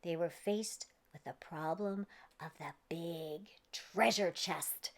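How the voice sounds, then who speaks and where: A woman's voice: on the low side, takes it slowly. A woman reading a children's story aloud in a playful, theatrical way with exaggerated emphasis. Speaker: children's storybook reader and host.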